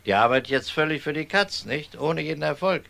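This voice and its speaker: A man playing a calm, unhurried police detective in a German crime radio drama, dry and politely ironic. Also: fairly high pitch, vocal fry. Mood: wry.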